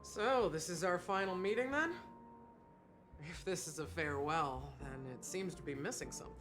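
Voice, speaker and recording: An actress playing a wistful, hospitable bartender in a video game, with a theatrical, sentimental delivery. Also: female voice, fairly low pitch, moderate pace, close to an everyday voice. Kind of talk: voiced video game dialogue. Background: background music.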